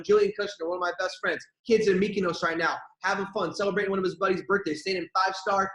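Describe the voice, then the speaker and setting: A young man giving an energetic, repetitive motivational pep talk to his team as a network marketing leader. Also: high voice, echoey room, poor audio.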